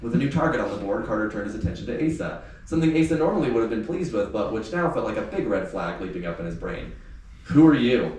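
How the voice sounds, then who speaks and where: A man reading youth fiction aloud in an expressive, audiobook-like storytelling style. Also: lowish voice, fast, hardly any pauses. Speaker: author reading aloud from a novel. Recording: echoey room, distant mic, faint noise.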